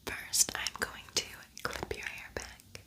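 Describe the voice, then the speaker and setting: A woman speaks in a soft, breathy whisper, hosting ASMR. Her delivery is very slow and lingering, and she often repeats a word gently. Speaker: ASMR host doing a makeup tutorial.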